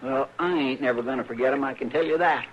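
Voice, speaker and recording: An actor playing an earnest, loyal frontier deputy in a 1950s radio drama, drawling with rural grammar. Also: male voice, mid-range voice, fast talker, slight background noise, lightly acted. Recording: lo-fi old radio broadcast.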